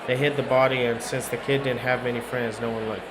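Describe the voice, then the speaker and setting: A man narrates a horror visual novel in the first person, with a dry and wry storyteller's delivery. His pitch is average for a man. Clear background noise runs under his voice. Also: flat delivery, a little fast. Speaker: game player reading narration aloud. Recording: noisy urban ambience behind.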